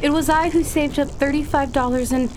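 An actress playing an earnest, devoted daughter in a radio drama, imploring and emotional. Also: female voice, high voice, steady tone, a little fast, a little mellow, slight background noise, natural voice. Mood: anguished confessing.

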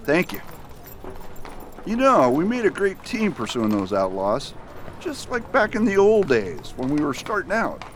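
A determined frontier marshal, played by a man in a Western radio play. His mid-range voice swings widely in pitch, commanding in a chase and earnest among friends. A faint outdoor hum runs underneath. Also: wildly swooping pitch, a little slow. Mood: warm. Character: steady, determined male US marshal. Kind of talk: amateur radio drama acting.

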